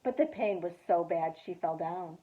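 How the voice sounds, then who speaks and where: Woman, youth director, children's story read-aloud, dramatic pauses, thin phone-like sound. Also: fairly low pitch. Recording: tinny phone-like audio.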